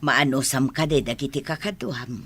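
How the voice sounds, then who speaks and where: A woman acting an ailing, affectionate elderly mother with a husky voice in a radio comedy drama. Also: very deep voice, normal speed. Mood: tender.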